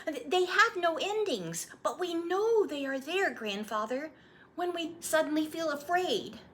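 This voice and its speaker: An eager young boy as a woman storyteller acts him out, high and lilting, full of insistent questions. The delivery is earnest and animated, like a storybook child, with noticeable background noise. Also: a little slow. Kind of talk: storybook read-aloud.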